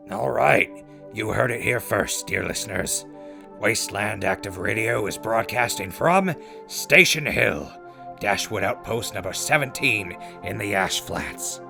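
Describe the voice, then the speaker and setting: A man's voice: lowish voice, animated, unhurried, gravelly, music in the background, full character voice. A man playing a wry, easygoing radio host presenting a show in a post-apocalyptic audio drama. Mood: upbeat.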